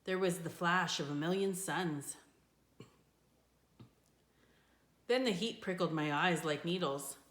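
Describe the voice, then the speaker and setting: A woman voicing an eager, excitable and stubborn young girl in a storybook. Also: on the low side, normal speed, lightly acted. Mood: earnest, stubborn. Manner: eager and impatient. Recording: echoey room.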